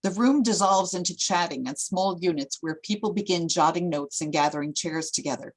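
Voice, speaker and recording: A woman's voice: on the low side, a little fast, rough recording. A woman narrating stage directions for a screenplay table read in a scripted reading style.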